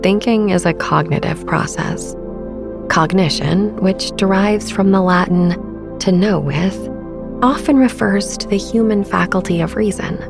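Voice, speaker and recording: A woman in her thirties gently narrating a sleep meditation as podcast host, with a soft, breathy, soothing and unhurried delivery. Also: mid-range voice, over music.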